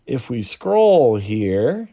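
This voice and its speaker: Slow-paced man, casual hobby explainer. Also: mid-range voice, big pitch swings, warm tone. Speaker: hobbyist video host.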